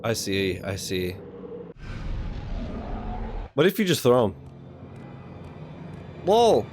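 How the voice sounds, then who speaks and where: A man's voice: fairly low pitch, very animated, very slow, background noise. A man streaming his reactions to game cutscenes in casual, joking chat, swearing freely. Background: video game cutscene audio and music.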